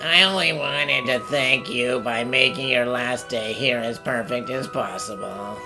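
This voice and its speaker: A woman voicing an earnest, anxious perfectionist bank manager in a cartoon fan dub, formal and apologetic with a gravelly edge. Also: very deep voice, measured pace, over music, exaggerated voice. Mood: anxious, remorseful. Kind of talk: animated mystery fan dub acting.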